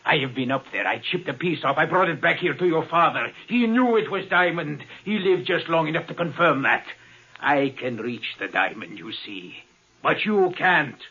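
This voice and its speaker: A bitter power-mad villain in an old 50s radio play, tense raspy voice, taunting, muffled sound. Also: male voice, on the high side, expressive, moderate pace, sharp tone, exaggerated voice. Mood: gloating, menacing. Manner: gloating, taunting, theatrical. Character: resentful, power-hungry man menacing his adoptive brother. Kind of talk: 1950s radio drama acting. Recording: muffled 1950s radio drama recording.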